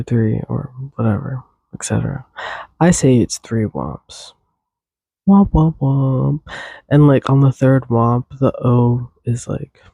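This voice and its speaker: Young guy rambling on his podcast, stuffy nose, gravelly and dark, dry close mic. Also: mid-range voice, dry recording. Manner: casual, tangent-prone, hums and sings tunes. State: congested. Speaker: podcast host. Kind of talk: rambling solo podcast chat.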